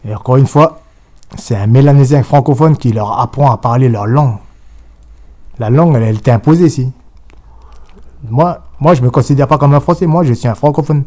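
A man's voice: mid-range voice, brisk, long pauses, a little mellow. A man from New Caledonia, giving an insistent political interview full of restarts and repetition. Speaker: guest.

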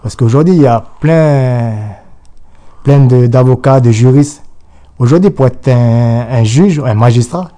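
A man's voice: mid-range voice, a little slow, dark tone. A man from New Caledonia, giving an insistent political interview full of restarts and repetition. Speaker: guest.

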